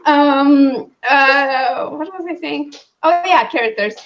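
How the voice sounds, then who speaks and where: High-voiced woman on lo-fi audio. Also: slow pace, soft background music. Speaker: co-host of a book discussion. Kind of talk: casual book chat.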